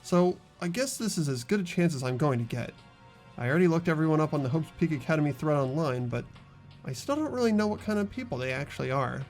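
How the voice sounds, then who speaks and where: A man's voice: fairly high pitch, expressive, quick pace, darkish tone. A man voicing a bewildered, earnest teenage boy student in a game, hesitant and puzzled, with music behind. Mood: unsure.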